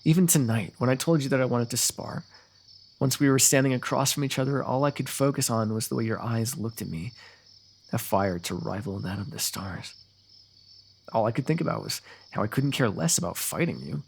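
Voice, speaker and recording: A man playing a lovestruck, eloquent fantasy royal in a romantic ASMR roleplay, talking intimately and poetically with gentle teasing. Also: medium pitch, gravelly, breathy edge. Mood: wistful, flirtatious. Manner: intimate, poetic, talkative, softly amused.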